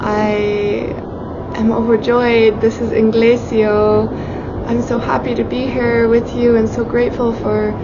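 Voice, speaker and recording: Gushing happy spirit guide, woman channeling it, higher voice, muffled lo-fi audio, loud noise behind. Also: flat delivery, measured pace. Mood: joyful. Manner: gushing, mixes in simple Spanish phrases. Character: joyful channeled spirit guide, warm and welcoming. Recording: dull lo-fi with loud background noise.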